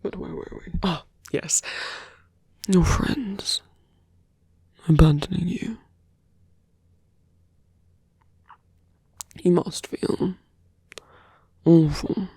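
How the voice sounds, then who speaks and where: A performer voicing a suave, menacing male villain in an intimate ASMR roleplay, slow and teasing, with a breathy, gravelly voice that often sinks to a near-whisper. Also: medium pitch, warm tone, dry recording, exaggerated voice. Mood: soft, coaxing. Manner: slow, deliberate, teasing, condescending.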